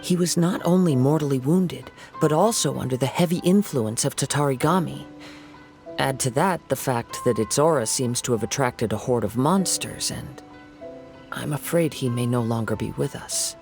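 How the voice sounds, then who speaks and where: A man voicing a calm, sharp young detective in a game, laying out his reasoning in a composed, analytical way. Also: medium pitch, normal speed, soft background music, lightly acted.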